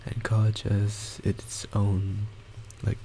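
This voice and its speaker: Quiet, hesitant young man rambling slowly. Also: lowish voice, completely flat delivery, slight background noise, poor audio. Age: young adult. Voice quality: quiet soft voice. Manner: hesitant, halting, many restarts and fillers. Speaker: college student. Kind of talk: rambling personal monologue. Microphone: desktop microphone with boosted gain.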